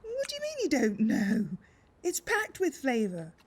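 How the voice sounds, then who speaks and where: An actress playing a confident, excitable amateur baker in a radio soap. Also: female voice, sits high, measured pace, slightly rough, exaggerated voice. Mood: eager, confident.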